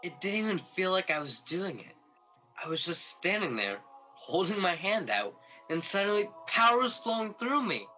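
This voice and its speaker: A man's voice: sits high, big pitch swings, normal speed, bright, forceful tone, full character voice. A man playing a restless, doubting angel in a radio play, questioning and discontented. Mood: amazed. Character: restless young male angel, doubting and eager for action. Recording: muffled lo-fi video call recording with music.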